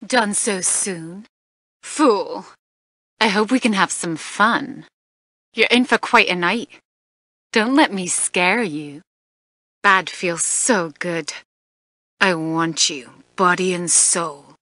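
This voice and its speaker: A woman's voice: on the low side, takes it slowly, long pauses, pushed and bright, dry recording, studio quality, full character voice. A woman voicing a seductive, teasing succubus in a fighting game, with a sultry, flirtatious and taunting manner. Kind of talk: fighting game character voice lines.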